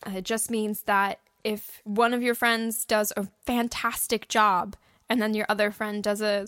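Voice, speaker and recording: A woman's voice: mid-range voice, a bit bright, dry recording, clean studio sound. A woman co-hosting a casual podcast, giving advice in an emphatic way and acting out examples as she goes. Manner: emphatic, acts out imagined thoughts and quotes. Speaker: podcast host and audio drama producer. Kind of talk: casual behind-the-scenes advice chat with a co-host.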